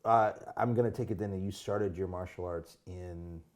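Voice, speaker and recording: A man hosting a martial arts podcast, chatting easily and warmly with an old friend. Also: sits low, fairly even intonation. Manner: reads the intro slowly and evenly, then chats easily with a guest. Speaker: podcast host. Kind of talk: casual interview. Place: lives in California.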